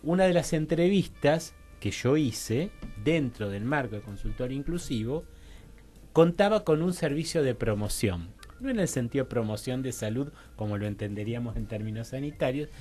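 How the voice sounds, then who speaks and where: Argentine man explaining haltingly, music behind. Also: medium pitch, animated, a little mellow. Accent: Argentine Rioplatense. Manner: halting, digressive, seeks agreement with frequent tag questions. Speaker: interview guest, social researcher. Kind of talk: informal radio interview explanation. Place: radio studio.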